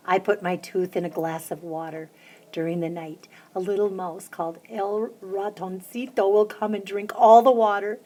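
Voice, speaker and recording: Brief: adult woman, children's book reader, lively storytime delivery, mid-range voice. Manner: lively, lifts her voice high for the children's chants. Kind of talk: storybook read-aloud.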